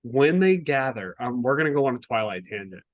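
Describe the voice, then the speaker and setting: A man co-hosting a casual book review podcast, talking in an animated, exasperated way and swearing casually. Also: mid-range voice, fairly quick, no room sound. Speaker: podcast co-host.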